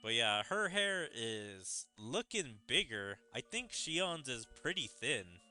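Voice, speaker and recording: A man's voice: mid-range voice, very animated, slow pace, bright, forceful tone, faint music behind. A man giving casual game commentary as a streamer, musing and speculating as he goes. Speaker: gaming streamer.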